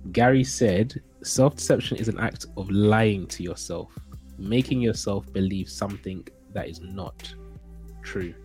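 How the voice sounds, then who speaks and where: A man's voice: fairly low pitch, a little mellow. A man hosting a casual discussion, reading out viewer comments and affirming others with short remarks.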